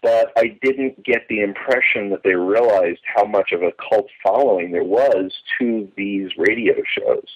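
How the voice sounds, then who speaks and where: A man's voice: fairly low pitch, measured pace, hardly any pauses, breathy edge. A middle-aged man giving an enthusiastic, detailed, digressive account in a conversational interview, as a longtime fan reminiscing. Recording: dull lo-fi phone or call audio.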